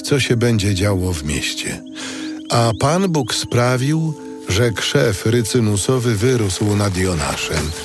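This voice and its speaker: A man playing a solemn narrator, reading a scripted audio Bible in a measured way. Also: mid-range voice, close to an everyday voice. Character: solemn male biblical narrator.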